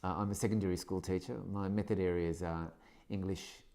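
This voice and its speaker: A man's voice: sits low, fairly even intonation, mellow. A man in his fifties with an Australian accent, a secondary school teacher speaking thoughtfully in an interview, often restarting his sentences. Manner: thoughtful, measured, with frequent restarts. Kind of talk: reflective interview testimony.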